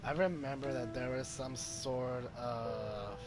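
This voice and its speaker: Hesitant man commenting on a game, mid-pitched. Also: fairly even intonation, slow. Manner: hesitant, thinking aloud, repeats himself. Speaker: gaming video host. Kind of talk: casual game playthrough commentary. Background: game music.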